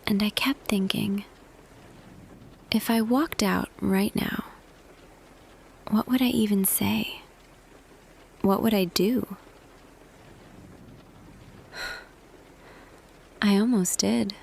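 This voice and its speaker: A woman playing a tender female boss confessing love to an employee in an intimate roleplay audio, hushed and hesitant, slipping between whisper and soft voice. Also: medium pitch, steady tone, drawn-out words, gravelly, pauses often. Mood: confessional, longing.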